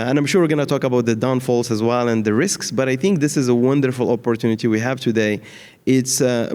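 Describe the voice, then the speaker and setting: A man's voice: mid-range voice, darkish tone. Man in a reverberant hall. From Morocco and France. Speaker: panelist, data science leader in healthcare. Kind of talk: unscripted panel talk. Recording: echoey hall with long reverb.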